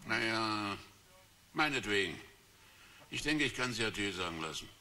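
A man's voice: fairly low pitch, lively intonation, normal speed, bright, forceful tone. An actor playing Kelly, a stern male police officer in a radio play, speaking in a firm, commanding way.

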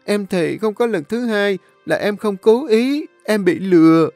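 A man's voice: medium pitch, expressive, normal speed, a little mellow, no room sound, soft background music, close to an everyday voice. A man voicing a desperate young village woman who pleads and wails for mercy. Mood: begging, wailing. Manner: wailing, pleading.

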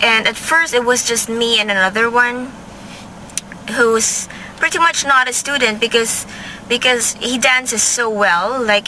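Slightly hoarse Filipino woman vlogging. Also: fairly high pitch, pushed and bright, slight background noise, low-quality recording. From Philippines. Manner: chatty, meandering, self-correcting. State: a bit hoarse and sleepy. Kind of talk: casual vlog talk to camera. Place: inside a parked car. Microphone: handheld camera close to face.

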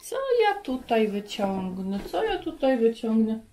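A woman in her thirties hosting a children's lesson, talking to young viewers in an animated way and asking them questions. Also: fairly high pitch, a little slow. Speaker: children's Bible lesson host. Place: carpeted room at home.

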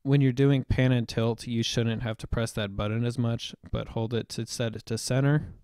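A young man explaining software setup step by step in a screen-recorded tutorial voice-over, with the odd "um". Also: medium pitch, steady tone, moderate pace, clean tone, no echo, clean studio sound. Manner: explanatory, step-by-step, with occasional fillers. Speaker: tutorial presenter.

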